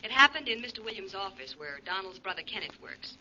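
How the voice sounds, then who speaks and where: Bright, eager detective's girlfriend. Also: medium pitch, big pitch swings, quick pace, a little hiss or hum. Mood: confiding. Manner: eager, gossipy. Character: excited, chatty young woman, detective's girlfriend. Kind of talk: 1940s radio acting. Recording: muffled 1940s radio recording.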